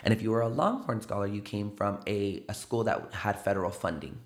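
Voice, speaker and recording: A man's voice: on the low side, a little fast, roomy sound. A man from the South Side of San Antonio with a South Texas accent, telling stories casually as a guest in a studio, tagging his points with "right?". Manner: animated, peppers stories with "right?" and "like". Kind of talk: casual storytelling interview. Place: podcast studio.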